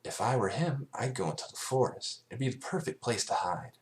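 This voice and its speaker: Man voicing a calm, brainy young female pony, raised pitch. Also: brisk, natural voice. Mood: reasoning.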